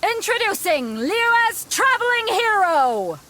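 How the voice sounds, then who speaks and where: A woman's voice: very high-pitched, sing-song, slow, very noisy, exaggerated voice. A woman voicing a rousing tournament announcer in scripted video game dialogue. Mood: grand, hyping.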